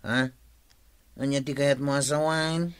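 A man's voice: medium pitch, fairly even intonation, unhurried, clean tone, natural voice. A man playing a gullible, plaintive, lovesick young man with an Ilocano accent in a comedy radio drama. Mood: eager, puzzled.

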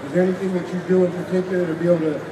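A man's voice: high voice, flat delivery, very fast. A man speaking casually at a live demonstration, talking through technique and asking about it. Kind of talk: casual spoken questions at a live demo. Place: large indoor expo hall. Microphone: distant handheld camera. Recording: distant, echoey hall with loud noise.

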